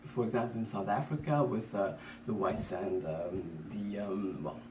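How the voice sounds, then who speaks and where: A man's voice: on the low side, moderate pace, a little mellow. Rambling man from Congo, roomy sound. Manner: rambling, many restarts and fillers. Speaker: podcast co-host. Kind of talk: casual conversation. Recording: roomy, distant mic, faint noise.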